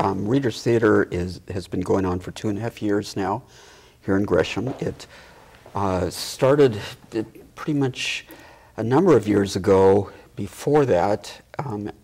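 A middle-aged man with a gravelly, husky voice, explaining things in a halting, measured way as an interview guest. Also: lowish voice, darkish tone. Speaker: theatre board member and director, guest. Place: small TV studio, seated at a table. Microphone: lapel mic.

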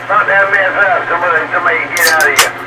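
A man's voice: sits high, steady tone, fast talker. A man chatting casually over CB radio in a folksy, slangy way, repeating his phrases. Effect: two-way radio transmission. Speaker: CB radio operator. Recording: noisy, distant CB radio speaker.

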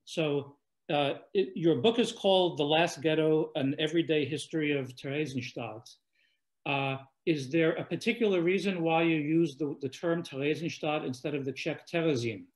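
Man, 60s, higher voice, hesitant courteous interviewer on a video call. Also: moderate pace, poor audio. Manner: hesitant, many fillers and restarts, courteous. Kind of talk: webinar interview. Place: home room by a lake.

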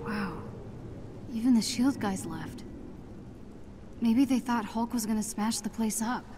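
A woman's voice: a little high, a little slow, background noise, natural voice. A woman playing an earnest, determined teenage girl superhero in a video game. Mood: curious, determined. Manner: earnest, youthful, informal. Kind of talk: cinematic video game acting.

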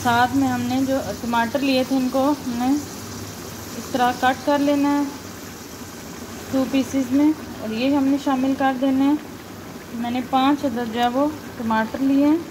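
A woman, calmly explaining each cooking step as a recipe voice-over. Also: sits high, measured pace, noisy background. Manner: calm, instructive, points things out to viewer. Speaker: cooking channel host. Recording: loud frying sizzle behind voice.

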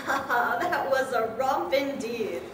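A woman's voice: high voice, drawn-out words. A woman playing a gleeful, mischievous trickster spirit who speaks in theatrical rhymes in a live radio play. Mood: gleeful, teasing. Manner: theatrical rhyming incantations. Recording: echoey distant live hall recording with noise.

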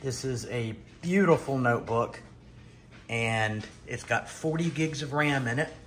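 A middle-aged man giving a casual, unscripted show-and-tell, halting and pausing mid-sentence. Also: medium pitch, a bit bright, a little hiss or hum. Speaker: retro computer vlogger. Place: dining room at home, indoors.

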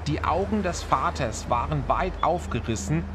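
A man narrating a scripted horror story in a measured, dramatic manner. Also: on the high side, expressive, background noise.

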